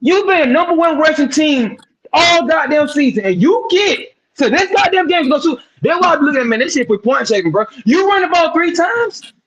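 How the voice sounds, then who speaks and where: A man's voice: extremely high pitch, animated, brisk, a bit bright, low-quality recording. A man co-hosting a casual sports podcast, breaking games down in a profane, emphatic style and repeating words for effect. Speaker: podcast co-host.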